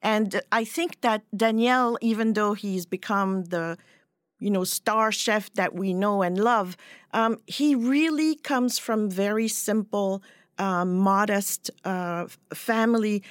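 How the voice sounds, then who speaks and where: A woman from Switzerland whose first language is French talks in a measured, thoughtful way, stopping now and then to find her words. Her voice sits in an ordinary middle pitch. The sound is close and dry. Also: no room sound. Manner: thoughtful, measured, with pauses and fillers. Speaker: guest, cookbook writer. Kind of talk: podcast interview.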